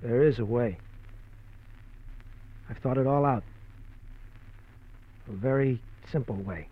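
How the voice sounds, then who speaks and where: Troubled husband in muffled radio drama. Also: male voice, medium pitch, slow. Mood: torn. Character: troubled husband fleeing his criminal past, guarded and desperate. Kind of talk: old-time radio drama acting. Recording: muffled old radio show recording.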